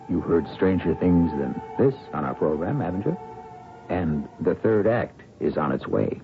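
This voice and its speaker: Very deep, gravelly male mystery host. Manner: wry, deliberate, theatrical. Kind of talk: dramatic scripted narration. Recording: dull vintage radio recording over music.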